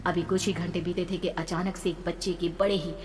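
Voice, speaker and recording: A woman's voice: on the low side, level tone, a little fast, darkish tone. A woman telling a horror story as dramatic scripted narration. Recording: long reverb, background noise.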